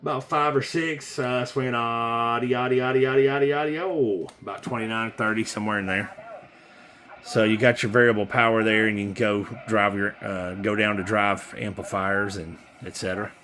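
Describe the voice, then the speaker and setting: Man, low bright voice for an informal, rambling seller's demo; faint noise behind. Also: steady tone, moderate pace. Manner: informal, rambling, hands-on while talking. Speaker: seller demonstrating used radio gear. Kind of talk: casual product demo chat. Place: indoors at a desk with radio equipment.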